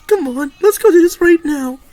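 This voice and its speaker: A young woman in her late teens giving a casual, halting pep talk. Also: extremely high pitch, animated, a little mellow, faint music behind. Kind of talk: informal spoken pep talk.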